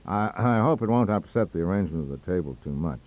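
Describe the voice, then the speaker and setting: Senior scientist in an audiobook, acted by a man: deep, diffident, apologetic, halting delivery. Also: animated, darkish tone. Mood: polite, hesitant. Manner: hesitant, mumbling with ers. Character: distinguished, diffident male medical scientist, apologetic.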